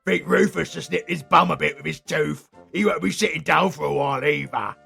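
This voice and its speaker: A man's voice: a little high, fairly quick, gravelly, bright, forceful tone, breathy edge, soft background music, heavily acted. A British man playing a retired supervillain husband in a romantic comedy roleplay, smug, doting and flirty, with theatrical teasing. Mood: doting, cooing. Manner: theatrical, teasing, cooing endearments.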